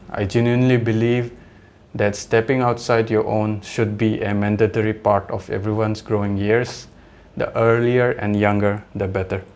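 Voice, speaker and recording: A man's voice: lowish voice, darkish tone. A man in his thirties from India, a native Adi speaker, talking in a rambling, reflective vlog monologue full of fillers and restarts. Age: 30s. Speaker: vlogger.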